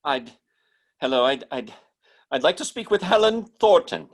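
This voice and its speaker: A man plays a nightclub mind reader in an old radio play. His voice rides high and swoops widely as he stammers and halts with theatrical urgency. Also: sing-song, normal speed, background noise. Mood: urgent, pleading. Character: agitated nightclub mind reader, showy on stage, urgent offstage. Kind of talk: old-time radio drama acting.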